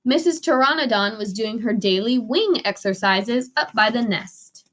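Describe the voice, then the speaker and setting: A woman's voice: a little high, animated, moderate pace, a bit bright. A woman teacher reading a children's story aloud expressively, with dramatic emphasis for young listeners.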